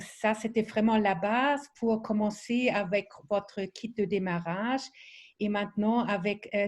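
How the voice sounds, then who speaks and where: German-accented woman, slow and hesitant. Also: mid-range voice, a little mellow. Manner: hesitant, many fillers and restarts. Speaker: presenter of a training webinar. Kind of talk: online training presentation.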